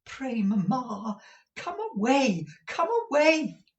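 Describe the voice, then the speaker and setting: A man's voice: very high voice, animated, takes it slowly, creaky, recorded from a distance, muffled recording, voice pushed up, heavily acted. A man voicing an anxious young daughter. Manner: pleading, urgent.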